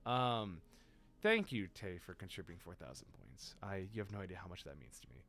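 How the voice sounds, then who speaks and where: A young adult man hosting a casual livestream, tired and exhausted, rambling in a playful, self-mocking way, his voice deeper than usual today. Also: big pitch swings, a little fast, a bit bright. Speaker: stream host.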